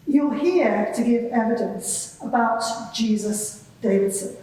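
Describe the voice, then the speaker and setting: Woman as polite lady lawyer asking questions, highish voice, formal, echoey courtroom, mic far off. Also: slow pace, mellow. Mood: formal, courteous. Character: polite female advocate questioning a witness. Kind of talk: dramatised courtroom examination. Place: large courtroom. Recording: echoey courtroom, distant microphone.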